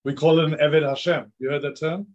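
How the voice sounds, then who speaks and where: A man's voice: on the high side, steady tone, brisk, lo-fi sound. A man who is a rabbi giving an informal lecture to a live group of students. He talks casually, fills his sentences with "like" and keeps asking the room questions. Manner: casual and chatty, many "like" fillers, questions to the audience. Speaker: rabbi teaching a class.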